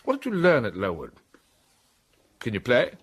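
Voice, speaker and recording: A brusque, commanding gentleman. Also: medium pitch, wildly swooping pitch, moderate pace, slightly rough, full character voice. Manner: curt, imperious questioning. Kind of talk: radio drama acting.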